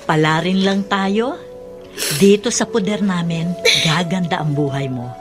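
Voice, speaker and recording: A woman's voice: lowish voice, unhurried, over music, close to an everyday voice. A woman playing a kindly, reassuring motherly guardian in a Filipino radio drama.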